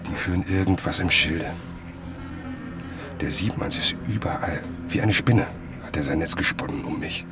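Low-voiced, paranoid journalist played by an actor. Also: male voice, moderate pace, long pauses, over music, close to an everyday voice. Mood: suspicious, tense. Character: obsessive, suspicious male journalist, self-important and defensive. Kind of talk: German radio drama acting.

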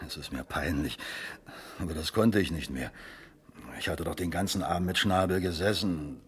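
Actor as deep-voiced, defensive tavern regular. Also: male voice, moderate pace, close to an everyday voice. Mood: embarrassed. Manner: defensive, explanatory. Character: male drinking companion, small factory owner, embarrassed, evasive witness. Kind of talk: 1970s German radio crime drama acting.

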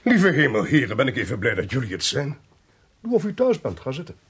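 A man acting a suave, talkative official in a radio drama, politely sly and self-possessed, with a husky, gravelly voice and urbane, theatrical delivery. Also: medium pitch, wildly swooping pitch, brisk, full character voice. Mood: affable relief.

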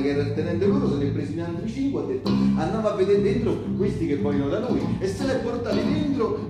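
A male actor plays an earthy, excitable townsman with a central Italian accent and a fairly high voice. He recounts wartime resistance in colloquial, repetitive speech, as a theatre monologue. The space is very reverberant, with live guitar clearly playing behind him. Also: lightly acted. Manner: conversational, repetitive, with rhetorical tags. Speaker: stage storyteller and actor. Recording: roomy live stage with music. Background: live guitar accompaniment.

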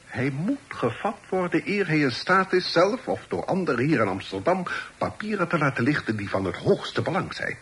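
An actor playing an authoritative older father and magistrate in a radio play, speaking in a formal, measured, commanding way. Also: fairly high pitch, very animated, fairly bright tone. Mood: stern, insistent. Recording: faintly noisy old radio recording.

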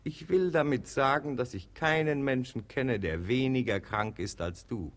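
An actor playing a sensible middle-aged brother in a German radio comedy, reasoning patiently with dry, teasing mockery. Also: male voice, medium pitch, a little slow, natural voice. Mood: reasoning, insistent. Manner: reasoning, dryly mocking. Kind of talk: German radio play acting of classic comedy.

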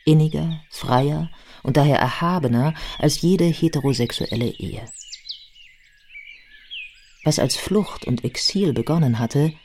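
An actress playing a thoughtful modern biographer, giving measured, articulate literary narration in a radio play. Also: female voice, deep voice, mellow, slight background noise. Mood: reflective.

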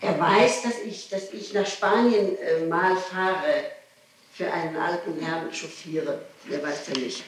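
A defensive woman under interrogation, stumbling and restarting as she answers. The old tape recording is roomy and echoing, with clear hiss underneath. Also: on the low side, takes it slowly, distant mic. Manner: defensive, hesitant, many restarts. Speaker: suspect under questioning. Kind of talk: police interrogation.

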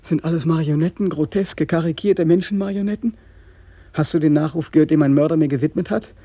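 An actor playing a bitter, haunted young drowned soldier in a mid-century radio drama, mockingly deferential, accusing and anguished. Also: male voice, a little high, fairly quick, mellow. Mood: bitter, dreamlike. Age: young adult. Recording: dull, band-limited old radio recording.

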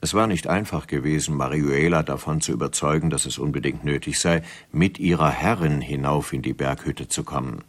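A man's voice: a bass voice, a little fast, few pauses. A man narrating a radio drama as a scripted storyteller.

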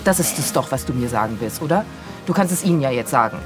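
A woman's voice: very deep voice, expressive, fast, music in the background. An actress playing a fed-up, morally outraged woman in a radio drama, accusing and biting in manner. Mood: scornful, taunting. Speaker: actor.

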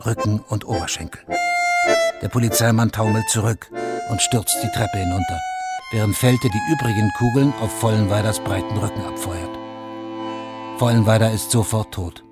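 A man narrating a scripted radio drama in a sober, factual manner, in short plain statements. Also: lowish voice.

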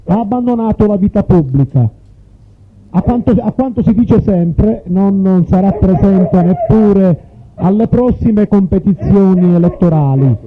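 A man playing a mock-serious satirical radio reporter, halting and repeating himself, through a tinny radio filter. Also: high-pitched. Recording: tinny narrow-band radio sound.

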